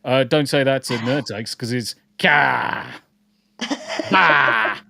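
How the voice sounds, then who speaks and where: A man's voice: medium pitch, takes it slowly, gravelly, pushed and bright, dry recording. A man co-hosting a casual podcast livestream, joking and swearing freely while greeting viewers in chat. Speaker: podcast co-host.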